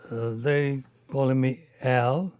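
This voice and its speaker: Slow-talking elderly Cuban man. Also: mid-range voice, steady tone, a little mellow, clean tone, dry recording, studio quality. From Cuba. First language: Spanish. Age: 80s. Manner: halting, non-native phrasing. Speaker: interviewee telling his life story. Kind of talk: reminiscing conversation.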